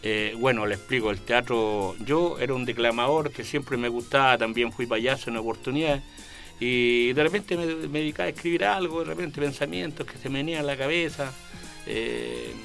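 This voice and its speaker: An older man with a Chilean accent chats with many stops and restarts, searching for his words. His voice sits at an ordinary pitch for a man, and soft music plays faintly underneath. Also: creaky. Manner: rambling, halting, with many restarts. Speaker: guest, local amateur actor. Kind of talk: casual interview chat.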